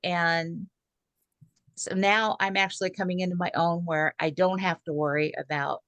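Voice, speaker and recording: Low-voiced woman in her sixties, chatty. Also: fairly even intonation, moderate pace, fairly bright tone, dry recording. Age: 60s. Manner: chatty, many "um" fillers. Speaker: guest speaker. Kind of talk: casual video call talk. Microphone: video call.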